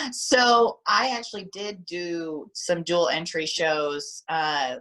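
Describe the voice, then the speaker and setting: Female athlete guest, animated, crisp bright tone, video call audio. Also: on the low side, very slow, poor audio. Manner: animated, repeats words when excited. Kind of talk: casual video call interview.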